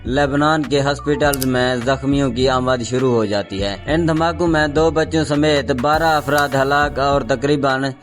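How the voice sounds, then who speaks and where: A man reading a scripted explainer narration in a formal, measured, documentary news style. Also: medium pitch, steady tone. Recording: background music under the voice. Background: music.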